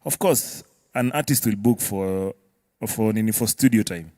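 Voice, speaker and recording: A man's voice: lowish voice, a little slow, warm tone, no room sound, studio quality. A man with a Kenyan accent explaining as a guest in a casual discussion, step by step, often checking that listeners follow. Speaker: music producer guest.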